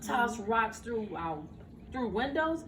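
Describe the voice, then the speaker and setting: A woman's voice: on the high side, very animated, takes it slowly, crowd noise. An older woman, a grandmother, reads a bedtime storybook expressively to children, stumbling now and then and correcting herself. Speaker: grandmother reading to her grandchildren. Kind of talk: animated bedtime storybook reading. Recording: roomy, noisy home recording, far from mic.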